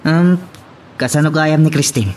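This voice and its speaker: A man's voice: on the high side, a little slow, a little mellow, a little hiss or hum, close to an everyday voice. A man playing a chummy, teasing drinking buddy in a radio drama, with an Ilocano accent. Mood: teasing, curious.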